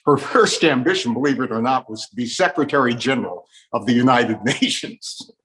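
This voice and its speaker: A man's voice: medium pitch, normal speed, a bit bright, rough recording. A man with an American accent hosting a talk program, delivering scripted introductions like a radio presenter. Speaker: host.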